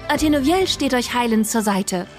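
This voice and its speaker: A preening, chirpy healer, as a woman puts her on in a comic audio drama. Her high voice bounces all over the place, with faint music underneath. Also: lively intonation, normal speed, close to an everyday voice. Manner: chirpy and self-satisfied. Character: vain, bag-obsessed female healer elf. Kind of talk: amateur parody audio drama.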